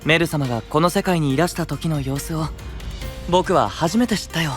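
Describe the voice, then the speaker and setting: An actor playing a gentle, caring young male knight, soft and earnest, in a fantasy voice drama. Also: on the high side, normal speed, faint music behind, lightly acted. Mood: soft, thoughtful.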